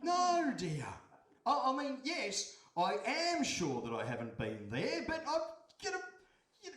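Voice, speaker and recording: Man playing a high-voiced, hesitant henpecked fisherman. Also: huge pitch swings, unhurried, a bit bright, full character voice. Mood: hesitant, defensive. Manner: hesitant, stammering, evasive. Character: meek henpecked fisherman husband, hesitant and simple. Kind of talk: live radio play acting. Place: hall stage before a live audience. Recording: roomy live stage hall.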